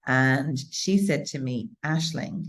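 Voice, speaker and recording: A woman with an Irish accent interviewing a guest over a video call, chatty and quick to repeat herself. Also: very deep voice. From Ireland. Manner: chatty, repeats words when excited.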